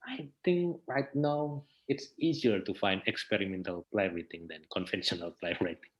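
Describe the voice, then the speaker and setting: A man's voice: fairly low pitch, normal speed, lo-fi sound. A man with an Indonesian accent giving thoughtful interview answers, hesitant and often searching for words as he repeats phrases. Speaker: interview guest, theatre researcher and festival director.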